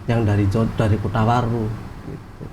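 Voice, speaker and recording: A man's voice: fairly low pitch, flat delivery, moderate pace, noisy background. A man from Cilacap, a guest in a casual interview, giving plain brief answers and retelling events step by step.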